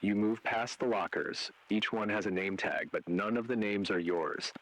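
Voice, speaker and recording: A man playing an ominous agent who narrates an interactive horror warning in grave, deliberate, foreboding second-person script. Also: fairly low pitch, fairly bright tone, lightly acted, low-quality recording. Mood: eerie, hushed suspense.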